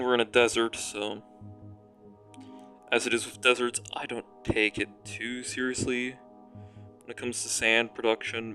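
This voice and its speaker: A young man with a raspy voice, casually commenting on a factory-building game as he plays and rambling, self-mocking, while he thinks aloud. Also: medium pitch, a bit bright. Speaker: gaming commentator.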